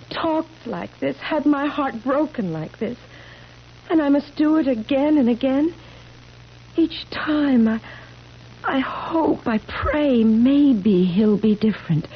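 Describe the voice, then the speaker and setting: A woman's voice: sits high, animated, takes it slowly, slightly rough, full character voice. An actress playing an anguished, pleading immortal young woman weary of life, in 1970s radio drama style. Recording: muffled, noisy 1970s radio recording.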